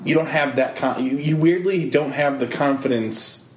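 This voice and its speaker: A man's voice: medium pitch, normal speed. A man hosting a podcast in casual chat, easygoing, laughing often and restarting his sentences. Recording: roomy echo with faint background ambience.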